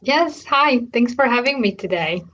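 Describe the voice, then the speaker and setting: A woman with a fairly high voice tells personal stories as a guest in conversation. The recording is slightly dull and lo-fi. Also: expressive, moderate pace, a bit bright. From Romania, ethnic Hungarian family. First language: Hungarian. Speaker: podcast guest, coach of engineers.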